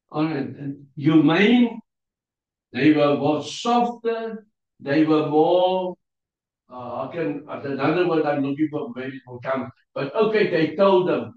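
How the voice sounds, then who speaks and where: A man with an Afrikaans accent, whose first language is Afrikaans, teaching a Bible class in a halting way, often pausing to search for English words. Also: a little high. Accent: South African Afrikaans. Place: church hall. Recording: distant, roomy, dull lo-fi sound.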